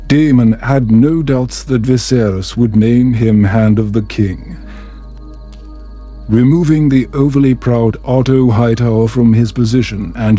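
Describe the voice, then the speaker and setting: A man reading a scripted bedtime story in a calming, soothing, measured way. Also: medium pitch. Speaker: storyteller, narrator.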